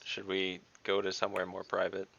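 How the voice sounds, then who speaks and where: A man playing in an online tabletop game, speaking deliberately and thinking his plans through out loud. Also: deep voice, completely flat delivery, gravelly, lo-fi sound. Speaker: player.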